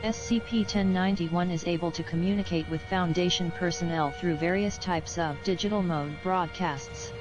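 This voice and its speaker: A narrator reading a formal document in a measured, clinical way, as scripted narration. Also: medium pitch. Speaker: narrator reading a fiction wiki entry. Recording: dull lo-fi voice-over with music behind. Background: music.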